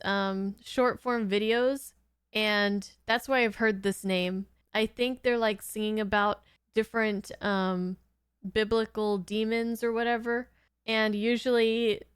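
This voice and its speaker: A woman's voice: a little high, clean tone, dry recording, clean studio sound. A woman giving casual reaction commentary in an informal, giggly, rambling way. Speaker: host of a reaction channel.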